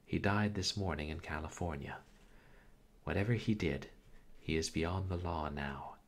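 A grave young man breaks bad news and then argues hotly in a storybook reading, with a man putting him on. The voice stays very low, only lifting when he exclaims. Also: unhurried.

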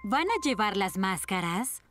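Sullen female cartoon clerk: medium pitch, bored, grumpy, deadpan. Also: a little slow, faint music behind. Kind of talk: dubbed cartoon acting.

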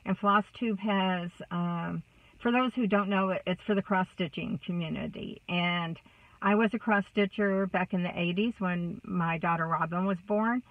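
A woman's voice: on the low side, measured pace, dry recording, recorded from a distance. A woman of sixty with a Texas accent, chatting casually to a phone camera inside a car, rambling with many fillers. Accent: Texan. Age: 60s. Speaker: vlogger. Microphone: phone camera close up. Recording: dry, thin phone-like sound.

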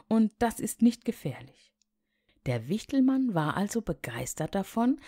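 A woman reading a scripted fairy tale aloud as a storyteller, in an expressive, measured way. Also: lowish voice, no room sound, clean studio sound.

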